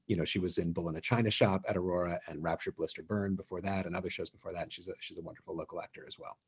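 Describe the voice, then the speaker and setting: A man's voice: on the low side, mellow, husky. A man who works as a theatre director and co-host, talking casually about productions in an earnest, explanatory manner.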